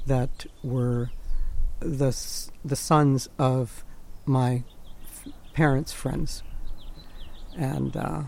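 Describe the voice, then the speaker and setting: Slow, halting man in his sixties. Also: mid-range voice, gravelly, darkish tone, a little hiss or hum. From Peru. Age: 60s. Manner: slow, halting, reflective, with many fillers and restarts. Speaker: interviewee, artist. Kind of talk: oral history interview. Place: at home.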